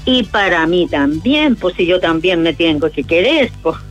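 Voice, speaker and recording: A woman's voice: on the low side, lively intonation, measured pace, muffled recording, over music. A woman with a Chilean accent calling into a radio show by phone, chatting cheerfully and with devotion, sending greetings. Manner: cheerful, chatty, devout, giggly. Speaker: radio caller. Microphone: phone line.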